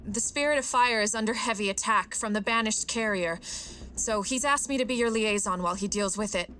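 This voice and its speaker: An actress playing an efficient female military liaison in a video game, relaying alerts in a crisp, businesslike way. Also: medium pitch, fairly quick, fairly bright tone, a little hiss or hum, close to an everyday voice. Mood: businesslike urgency. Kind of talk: cinematic video game acting.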